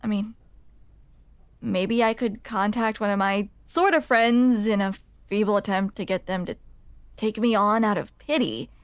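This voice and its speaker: A woman's voice: medium pitch, unhurried, smooth, dry recording, natural voice. A young woman playing a grumpy, smitten barista in an intimate ASMR roleplay, awkward and hesitant as she confides in the listener. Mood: bitter, rueful. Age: young adult. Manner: awkward, hesitant, self-deprecating, confessional.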